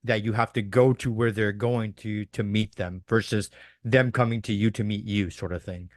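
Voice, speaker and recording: Low-voiced man rambling on a dry mic. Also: steady tone, normal speed, no echo. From Toronto, Canada. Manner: rambling, many fillers and restarts. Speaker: participant, real estate agent. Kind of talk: casual question on a video call.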